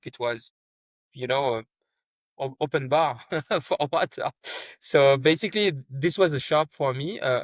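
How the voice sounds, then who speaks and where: A man's voice: medium pitch, no room sound. A man, a startup founder speaking earnestly as an interview guest, often repeating words and restarting his sentences. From France. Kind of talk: conversational interview.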